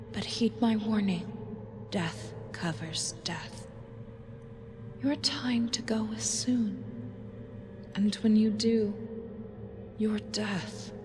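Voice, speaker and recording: A woman playing a grave, commanding moon goddess in a fantasy audio drama, whispery, solemn and halting, as if pronouncing prophecy. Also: medium pitch, close to an everyday voice. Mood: warning.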